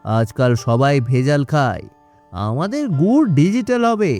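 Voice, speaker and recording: A man voicing a boastful, scheming cartoon trickster from a village, out for quick money, with a wheedling, dismissive way of talking. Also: on the high side, big pitch swings, darkish tone, faint music behind. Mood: bossy persuasion. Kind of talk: animated cartoon dialogue.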